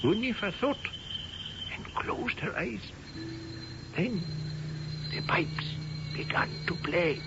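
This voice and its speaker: An actor playing a reverent, mystical manservant in a radio drama, telling tales in solemn, measured, archaic phrases. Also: male voice, high-pitched, very animated, full character voice. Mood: awed storytelling. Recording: dull, noisy old radio recording.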